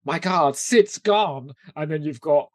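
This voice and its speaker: A man's voice: on the high side, slow pace, no echo, clean studio sound. A man chatting casually and animatedly as a podcast co-host about a TV show, swearing now and then.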